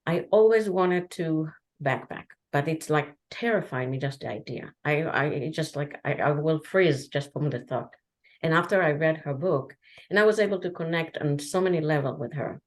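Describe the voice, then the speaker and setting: A woman with a deep, low voice whose first language is Hebrew talks as a guest on a podcast. She tells her story candidly and haltingly, with frequent restarts and fillers.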